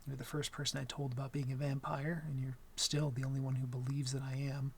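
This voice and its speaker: A man playing a shy, awkward young vampire in an intimate ASMR roleplay, gentle and smitten, speaking softly and hesitantly with stammers and apologies. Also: medium pitch, monotone, a little fast, a little hiss or hum, close to an everyday voice. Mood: nervous, earnest.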